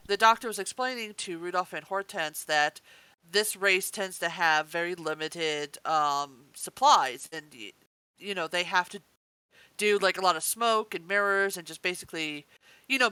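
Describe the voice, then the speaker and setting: A woman's voice: medium pitch, bright, forceful tone, smooth, dry recording, rough recording. A woman co-hosting a review podcast, explaining plot points in casual chat. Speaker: podcast co-host.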